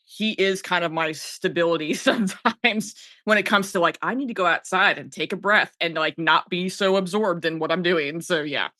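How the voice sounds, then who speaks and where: Bright-voiced American woman, dry close sound. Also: lowish voice, moderate pace, few pauses, no room sound. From South Jersey, United States. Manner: informal, frequent self-interruptions. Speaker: podcast guest, audio and video producer. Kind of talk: casual chat, personal storytelling.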